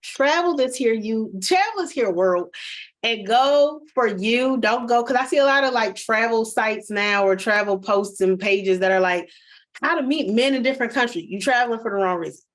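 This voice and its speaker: Animated woman podcast host. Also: a little high, normal speed. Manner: animated, addresses listeners directly, emphatic. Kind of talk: casual chat, storytelling.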